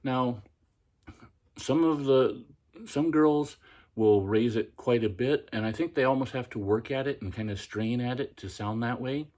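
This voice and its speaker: A man hosting a podcast, talking in a practiced feminine voice that is quiet and soft, with the pitch lifted by tightening his throat. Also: measured pace. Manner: pitch raised by tensing the throat. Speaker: podcast host. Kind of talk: casual instructional monologue.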